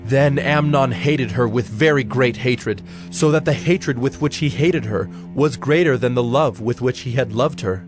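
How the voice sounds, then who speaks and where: A man's voice: medium pitch, moderate pace, few pauses. A man giving a dramatic scripted narration of scripture as the narrator. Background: music.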